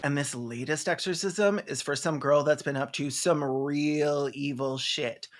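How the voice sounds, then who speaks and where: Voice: medium pitch, measured pace, noisy background. A commentator giving a comedic reaction to a movie in a sarcastic, campy, sweary way. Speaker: host.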